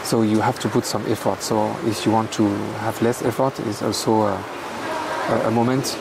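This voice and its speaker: A man's voice: lowish voice, fairly even intonation, vocal fry, distant mic, very noisy. French-accented man explaining, hesitant. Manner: hesitant, halting, many fillers. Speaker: guest commentator. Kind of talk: explaining technique.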